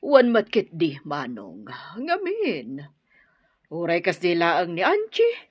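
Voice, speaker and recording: A woman's voice: fairly low pitch, huge pitch swings, takes it slowly, husky, no room sound, highs cut off, exaggerated voice. A woman playing a frank, matronly, well-off mother of a grown son in an Ilocano radio drama, with an Ilocano accent. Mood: businesslike, probing.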